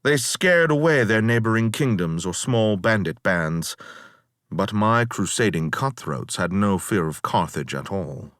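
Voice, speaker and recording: A man's voice: lowish voice, lively intonation, measured pace, a bit bright, no room sound, studio quality. A man reading a first-person epic tale in a formal, solemn, dramatic manner. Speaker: narrator reading a story.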